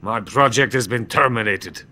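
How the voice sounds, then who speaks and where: An actor playing a bitter, proud, drunken older Soviet weapons scientist in a video game, with a brooding, theatrical delivery. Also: male voice, mid-range voice, moderate pace, pushed and bright, a little hiss or hum. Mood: resentful, explanatory. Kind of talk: dramatic video game cutscene acting. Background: music.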